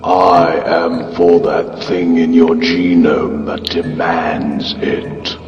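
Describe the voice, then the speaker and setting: A man's voice: low voice, flat delivery, highs cut off. A man voicing a vast inhuman fungal god that pronounces solemnly and with contempt on humanity, in a motion comic. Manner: slow, solemn, deliberate pronouncements. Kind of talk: dramatic comic narration. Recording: cavernous reverb, distant, faint music behind.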